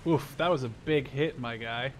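A man's voice: medium pitch, normal speed, a little hiss or hum. A man chatting casually and self-deprecatingly with a teammate while playing a video game. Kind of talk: casual gaming chat with a teammate.

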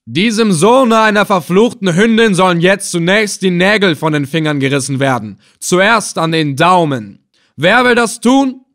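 A man voicing a furious, cruel slave-hunter chieftain in an audiobook adventure, loud, commanding and menacing. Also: on the high side, lively intonation, normal speed, breathy edge, pitched above the performer's own voice. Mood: enraged, commanding. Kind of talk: dramatized audiobook adventure reading.

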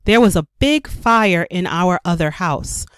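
A woman reading a children's story aloud as a storyteller, with careful, expressive narration for young children. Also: fairly low pitch, unhurried, smooth, no echo, clean studio sound. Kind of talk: scripted read-aloud narration.

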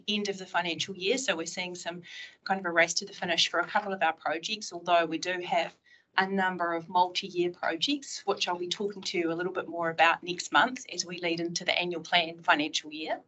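A New Zealand woman briefs a council on project updates. Her voice is bright and clear, and her delivery is steady with a few fillers. She sounds like she is in a large meeting room, picked up by table mics. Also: lowish voice, low-quality recording. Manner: opens with a Māori greeting, steady presenting with fillers.

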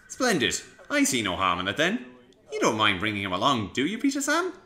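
A man's voice: mid-range voice, huge pitch swings, a little fast, pushed and bright, voice pushed up. A man voicing a genial, proper male station master with a polite, formal manner. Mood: pleased.

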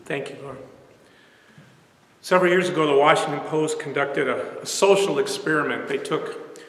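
A man in his sixties speaking as a pastor leading worship, formal and measured, in a large reverberant sanctuary. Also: on the high side, a bit bright. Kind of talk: church prayer and sermon. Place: large empty church sanctuary, indoors. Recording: echoey church sanctuary, long reverb.

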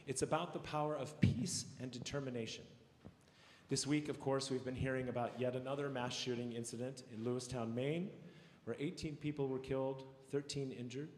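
A man's voice: mid-range voice, darkish tone. Middle-aged male choir director with a cold, informal and encouraging, handheld mic in a big reverberant hall, faint room noise. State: has a cold, voice a little worn. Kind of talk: concert announcements to an audience. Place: large recital hall stage. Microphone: handheld mic heard from a far camera. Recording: echoey hall from far off.

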